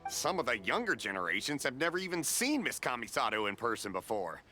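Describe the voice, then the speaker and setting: A jovial middle-aged festival vendor. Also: male voice, medium pitch, big pitch swings, brisk, faint music behind. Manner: jovial, chuckling, warm-hearted banter. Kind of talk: video game voice acting.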